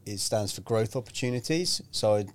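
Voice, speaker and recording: A man's voice: on the low side, animated, moderate pace, a little hiss or hum. A Greek-born man in his forties, a public speaking coach, chatting casually and explaining his points with examples. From Greece. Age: 40s. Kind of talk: casual podcast chat.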